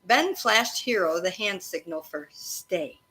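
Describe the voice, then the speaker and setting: A woman teacher reading a storybook aloud to children expressively, pausing to explain and prompt her listeners. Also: medium pitch, animated, bright, forceful tone, low-quality recording. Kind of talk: interactive children's read-aloud of a storybook, with questions and asides. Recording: faint background noise.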